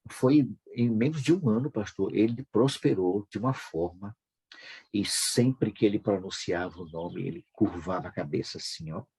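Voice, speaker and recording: A Brazilian man hosting a devotional broadcast, speaking informally and fervently with a husky voice, repeating phrases for emphasis. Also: lowish voice, moderate pace, dark tone. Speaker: host of a devotional prayer broadcast.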